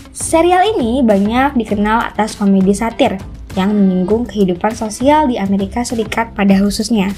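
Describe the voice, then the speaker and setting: A woman's voice: fairly high pitch, darkish tone. A woman reading a scripted explainer narration as the narrator of a video channel. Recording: music bed under the voice. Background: background music.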